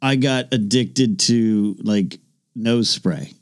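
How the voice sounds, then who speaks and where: A man's voice: on the low side, takes it slowly. A man co-hosting a casual podcast chat, joking around and slipping into silly voices for his bits. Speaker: co-host.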